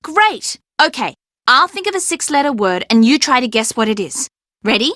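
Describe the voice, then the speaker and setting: A chatty young woman for a video game, a playful fibber with sass and brains. Her voice sits fairly high and swings widely in pitch with emphatic drama. The sound is very dry, with no room around it. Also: big pitch swings, normal speed, pushed and bright, no room sound, heavily acted. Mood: chatty, coaxing. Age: young adult. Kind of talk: video game character dialogue.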